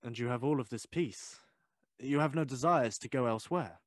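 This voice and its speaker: A male actor as an inquisitive Victorian gentleman in an audio drama. He has a mid-pitched voice, recorded close and dry, and talks with formal, polite curiosity. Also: normal speed, dry recording, close to an everyday voice. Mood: courteous, inquisitive. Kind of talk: audio play acting.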